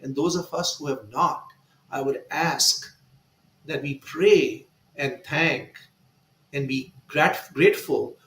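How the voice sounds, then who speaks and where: Guy telling his story on a panel, emphatic, asks the audience little questions, slightly high voice. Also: slow, fairly bright tone, echoey room, poor audio. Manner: emphatic, with rhetorical asides. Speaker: guest speaker. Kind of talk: personal storytelling in a panel discussion.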